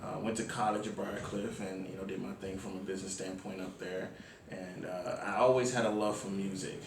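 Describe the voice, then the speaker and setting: A man from Miami speaking casually as an interview guest, in slangy, halting phrases full of ums. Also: deep voice, fairly even intonation. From Miami, Florida, United States. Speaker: interview guest, music platform founder. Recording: echoey room, distant mic, faint noise.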